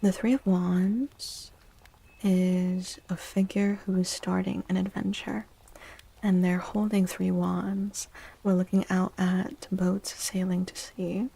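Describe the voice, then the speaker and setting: Slow, breathy, soft-spoken ASMR woman. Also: lowish voice, flat delivery. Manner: gentle, hushed, unhurried, soothing repetition. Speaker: livestream host reading tarot cards for viewers. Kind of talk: soft-spoken ASMR tarot reading, often whispered.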